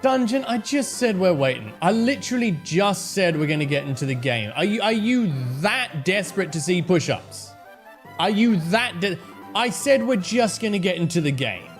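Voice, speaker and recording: Aussie guy streaming, kinda hoarse, highish voice, chatty w/ chat. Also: very animated, normal speed, faint music behind. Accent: Australian. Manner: chatty, greets viewers by name, fretting over technical trouble. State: slightly hoarse, voice going. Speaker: game streamer. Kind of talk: casual live-stream chat to viewers.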